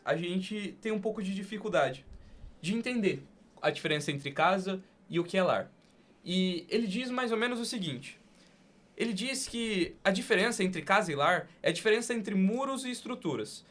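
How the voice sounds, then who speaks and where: A man hosting a podcast, speaking deliberately with emphatic pauses, in a conversational and sometimes poetic style. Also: high-pitched, animated, fairly bright tone. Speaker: podcast host. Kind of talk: conversational hosting and spoken-word poem recital.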